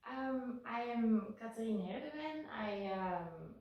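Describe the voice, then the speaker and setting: A woman's voice: fairly high pitch, expressive, takes it slowly, recorded from a distance, muffled recording. A woman, answering interview questions in a thoughtful way, with frequent ums and restarts. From Belgium. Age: 30s. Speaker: guest. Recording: echoey room, voice a bit off-mic.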